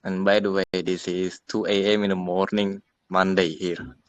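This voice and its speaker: A man with an Indonesian accent casually introducing himself, speaking in a halting way. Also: sits low, flat delivery, no echo. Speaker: tech company CEO, project helper.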